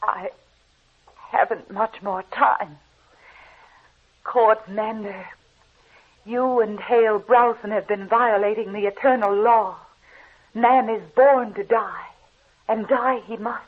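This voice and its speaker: Through a narrow old radio band comes a woman back from the grave. She speaks slowly and solemnly, giving cold, vengeful commands. It is portentous vintage horror drama. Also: mid-range voice, fairly even intonation, breathy edge. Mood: insistent, ominous.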